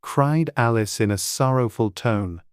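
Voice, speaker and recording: A woman's voice: a bass voice, a little slow, a little mellow, clear, resonant tone, dry recording, clean studio sound. A woman reading scripted storybook narration as an audiobook narrator.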